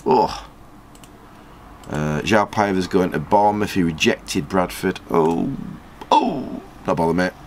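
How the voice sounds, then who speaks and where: A man, casually commenting on a football management game and reading out viewer comments in a small storeroom. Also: a little hiss or hum. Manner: chatty, rambling, quick jumps in pitch when surprised. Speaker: gaming channel host.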